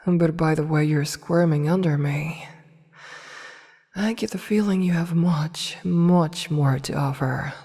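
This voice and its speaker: A woman playing a seductive, commanding evil empress in an intimate ASMR roleplay, breathy and often near a whisper, teasing and imperious. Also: low voice, dark tone, full character voice. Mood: sultry, demanding. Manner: slow, teasing, imperious, deliberate. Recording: long reverberant hall echo.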